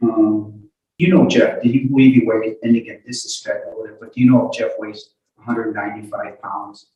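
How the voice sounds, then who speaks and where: A man working as a lawyer, putting questions to a witness in a halting, apologetic way. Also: fairly low pitch, steady tone, dark tone, muffled recording. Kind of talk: courtroom cross-examination. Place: indoor courtroom. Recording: echoey courtroom, distant mic.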